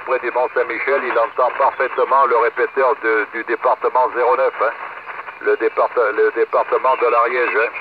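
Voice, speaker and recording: A man chatting casually as an amateur radio operator, in a hesitant, talkative way with frequent question tags. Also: medium pitch. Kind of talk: casual ham radio chat. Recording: dull lo-fi audio, loud radio noise.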